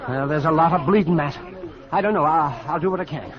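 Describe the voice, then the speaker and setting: An actor playing an excitable, grumbling town doctor in a 1950s radio western, fussy and repeating himself. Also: male voice, on the high side, fast talker, lightly acted. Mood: flustered. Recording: muffled 1950s radio recording, crowd murmur.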